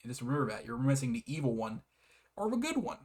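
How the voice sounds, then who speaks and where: A man's voice: medium pitch, sing-song, quick pace, a little mellow. A man giving a casual, rambling game review in a hesitant, apologetic manner with frequent giggles.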